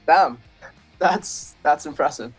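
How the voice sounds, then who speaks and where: Young male athlete, easygoing, slightly raised pitch, faint music behind. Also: slow, husky, poor audio. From Austria. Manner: easygoing, laughs often. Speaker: trampoline athlete guest. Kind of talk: casual interview answers.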